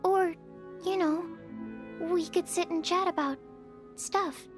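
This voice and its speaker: A woman's voice: very high-pitched, steady tone, slow pace, music in the background. An actress playing a shy, bookish young female alchemist in a video game, earnest and awkward, speaking in hesitant, precise, formal phrases. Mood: timid. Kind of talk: video game character voice lines.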